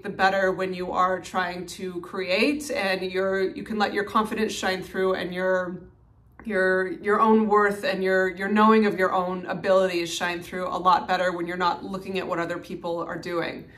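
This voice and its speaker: A young woman talking casually to the camera about her channel, recorded on her phone. She has a loud, projecting voice and moves between calm and lively stretches. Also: mid-range voice, moderate pace. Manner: loud, animated, strong projection. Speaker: minimalist YouTuber. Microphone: phone mic.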